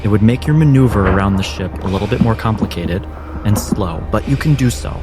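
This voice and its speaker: A man narrating a cinematic game as game master, telling it in measured, descriptive phrases. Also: fairly low pitch, lively intonation. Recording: music bed behind the voice.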